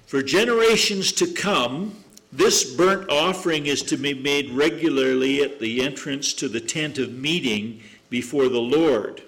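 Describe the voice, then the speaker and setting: A man preaching a sermon to a congregation, reading scripture, praying, and joking in asides with a loud voice. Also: fairly high pitch, a little slow. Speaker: guest preacher. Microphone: microphone through speakers. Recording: echoey room.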